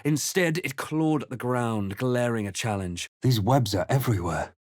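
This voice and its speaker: A man's voice: mid-range voice, normal speed, dry recording, lightly acted. Man acting a grave, brooding fantasy game adventurer, dry recording. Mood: wary, muttering. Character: brooding male adventurer hero recounting his perils. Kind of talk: dramatic game narration and short character remarks.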